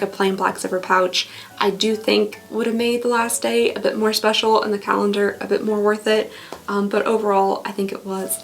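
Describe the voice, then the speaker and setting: A woman hosting a casual vlog, chatty and rambling with frequent giggles, her voice going hoarse from talking. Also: medium pitch. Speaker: vlogger host. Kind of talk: casual unboxing review.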